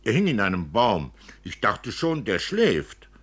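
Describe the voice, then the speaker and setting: A shrewd, boastful tough boy from a radio drama, played by a man. He speaks bluntly and with swooping emphasis, in a husky voice. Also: on the low side, wildly swooping pitch, a little slow, fairly bright tone, exaggerated voice. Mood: matter-of-fact, callous. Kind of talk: radio play literary reading.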